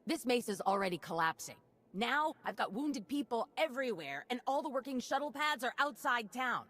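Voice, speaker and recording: An actress playing a frazzled, sarcastic town mayor in a video game, with a blustering, folksy, exclaiming delivery. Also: female voice, on the high side, very animated, moderate pace, a bit bright. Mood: exasperated. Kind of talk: video game character acting.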